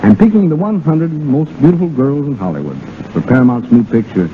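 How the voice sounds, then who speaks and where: A man's voice: medium pitch, animated, brisk. An American man as a guest on 1930s radio, giving scripted banter in a wisecracking, colloquial way. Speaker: radio guest, dance director. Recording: faintly noisy, narrow-band 1930s radio.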